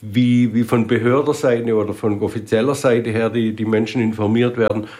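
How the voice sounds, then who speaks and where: A man with a fairly low voice hosts a radio talk show. He is chatty and relaxed, and he prompts his guests with follow-up questions. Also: moderate pace. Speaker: radio host.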